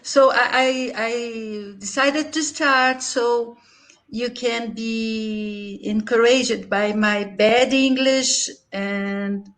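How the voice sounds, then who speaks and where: A woman's voice: a little high, a bit bright. A woman from Brazil with a Brazilian Portuguese accent, talking in hesitant, non-native English on a video call, searching for words and laughing often. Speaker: conference session participant. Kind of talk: casual video call chat.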